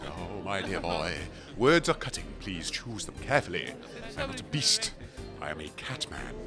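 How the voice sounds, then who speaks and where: Cat-man mutant: low register, pompous, theatrical old-world manners, comedy radio play, loud music underneath. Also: huge pitch swings, normal speed, creaky, exaggerated voice. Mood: wounded, dignified. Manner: pompous, flowery, old-fashioned phrasing. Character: genteel, theatrical male cat-man mutant, grandiose and reassuring. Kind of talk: comedy audio drama acting.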